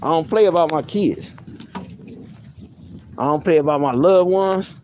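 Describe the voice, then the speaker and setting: A man with a soft voice, venting on a live stream in a heated, profane way, often repeating himself. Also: on the high side, unhurried, slight background noise. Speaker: livestreamer.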